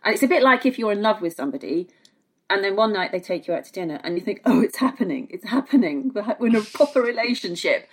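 A woman's voice: mid-range voice, lively intonation, quick pace, breathy edge. A woman with a British accent giving conversational opinion as a guest pundit, circling back over words as she makes her point. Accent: British English. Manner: hesitant phrasing, repeats words for emphasis. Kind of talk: conversational opinion, podcast discussion.

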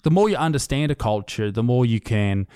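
An Australian man talking on a podcast in a middle pitch, blunt and profane, his thoughts running on. The sound is dry and close with no room around it. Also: animated, a little fast, warm tone, clear, resonant tone, dry recording. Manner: blunt, swears freely, loose run-on talk. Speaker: podcast host. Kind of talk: casual chat.

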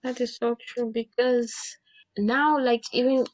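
A woman's voice: fairly high pitch, little pitch movement, measured pace, no room sound, dull audio. A young woman hosting a podcast in a casual, conversational interview style. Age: young adult.

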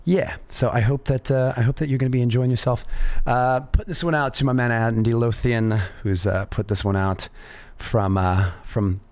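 A man with a raspy voice hosting a live radio music show, talking in an easygoing way with plenty of fillers. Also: medium pitch, fairly even intonation, moderate pace, hardly any pauses, darkish tone, dry recording. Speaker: radio DJ host. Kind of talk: casual live radio presenting.

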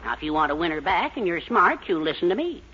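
Brief: folksy fatherly man, husky but bright voice, lively delivery, old radio sound. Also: high-pitched, fast talker, full character voice. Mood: advising. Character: fatherly, advice-giving older man. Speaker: Mr. Anderson, Mildred's father. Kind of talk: 1940s radio sitcom acting. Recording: dull old radio broadcast.

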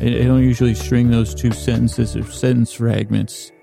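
A man hosting a sleep podcast, rambling through a bedtime monologue in a halting, meandering, self-interrupting way, with whimsical self-deprecation. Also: medium pitch, level tone, creaky, music in the background.

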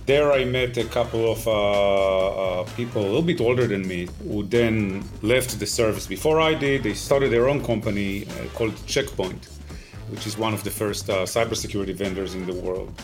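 A man in his fifties with an Israeli accent, answering interview questions in a measured, explanatory way with frequent "uh" pauses. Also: on the low side, soft background music. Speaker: tech company founder, guest.

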